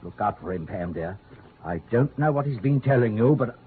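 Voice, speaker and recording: An actor playing a suave, patronising gentleman swindler, mockingly polite, in an old radio drama. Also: fairly low pitch, animated, normal speed, natural voice. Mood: wary. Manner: urbane, drawling endearments, mockingly polite. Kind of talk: 1940s-50s radio drama acting. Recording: tinny, noisy old radio recording.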